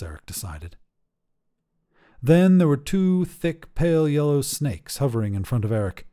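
A man narrating a scripted short story as a podcast host, in an expressive storytelling manner. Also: medium pitch.

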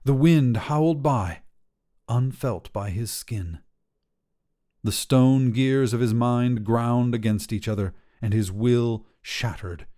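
A man narrates a piece of short fiction in a warm, mellow voice. His delivery is that of a literary storyteller, measured and scripted, at a medium pitch.

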